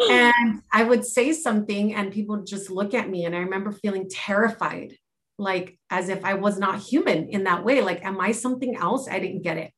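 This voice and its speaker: A woman from Los Angeles, a life and health mentor, reflectively telling her own story in a podcast interview. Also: mid-range voice. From Los Angeles, United States.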